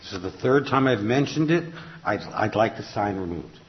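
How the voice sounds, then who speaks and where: A man's voice: fairly low pitch, lively intonation, normal speed. Board member, male, dry humor, blunt, muffled lo-fi audio. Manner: wry, plainspoken complaint. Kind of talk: public meeting remarks.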